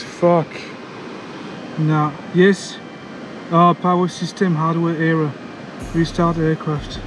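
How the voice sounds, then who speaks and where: A man in his thirties from France, vlogging casually outdoors on a jungle trail with a handheld action camera, chatty and excitable, sweaty and a little out of breath from climbing. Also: a little high, low-quality recording. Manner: chatty and excitable, talks to viewers as guys, swears. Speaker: travel vlogger. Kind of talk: casual vlog commentary. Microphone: handheld action camera at arm's length.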